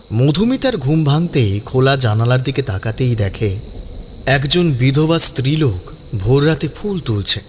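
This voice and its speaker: A man narrating a scripted story in a dramatic audiobook style. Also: mid-range voice. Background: background music.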